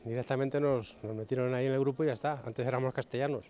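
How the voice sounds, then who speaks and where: A man's voice: medium pitch, fairly even intonation, fast talker, dark tone. A man interviewed on the street, answering bluntly with some stumbles. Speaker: passer-by interviewed. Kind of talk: street vox pop.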